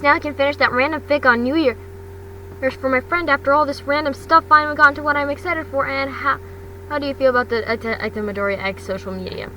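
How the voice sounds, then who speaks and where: A woman's voice: high voice, pushed and bright. A woman reading fan fiction aloud in an amateur storybook style, often stumbling and repeating words. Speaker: fan fiction podcast reader. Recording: noisy home recording, distant mic.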